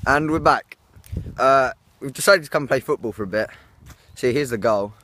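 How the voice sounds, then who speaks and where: A teenage English boy vlogging outdoors on a phone held at arm's length, chatty and excitable with his friends. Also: medium pitch, moderate pace, no echo. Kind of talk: casual vlog to camera. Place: outdoors in fields on a windy grey day. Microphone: phone camera held at arm's length.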